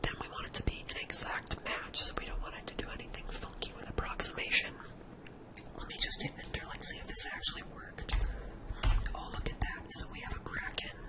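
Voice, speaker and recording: A person speaks almost entirely in a breathy, unvoiced whisper. The delivery is that of a casual ASMR screen-share tutorial, thinking aloud along the way. The hushed sound stays soft and airy from phrase to phrase. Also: a little fast, rough recording. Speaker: host of an ASMR spreadsheet tutorial.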